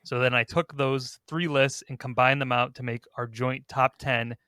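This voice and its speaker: A man's voice: medium pitch, fairly even intonation, moderate pace, pushed and bright, dry recording, clean studio sound. A man hosting a podcast, talking casually as he runs through a ranking discussion. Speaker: podcast host.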